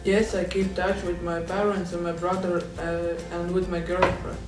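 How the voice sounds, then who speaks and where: A man from Latvia with a flat, even delivery. He gives short, plain answers. He sounds distant in an echoey room with faint guitar music. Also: high-pitched, normal speed. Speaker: interviewee.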